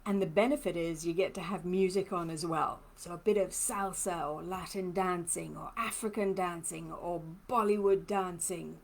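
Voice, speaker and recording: A woman's voice: on the low side, fairly even intonation, moderate pace, creaky, hardly any pauses. A woman from Trinidad with a mixed, hard-to-place accent, a lymphoedema therapist giving a chatty live-stream talk and answering viewer comments. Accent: mixed, hard-to-place blend. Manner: chatty, personal, laughs often.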